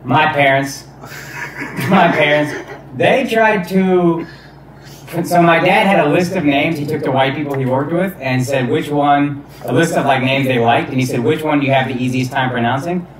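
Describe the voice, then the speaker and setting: A man's voice: on the high side, normal speed, a crowd in the background. Man, casual comic chat, laid-back, roomy sound, distant mic. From United States. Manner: laid-back, swears casually, laughs often. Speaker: guest comedian.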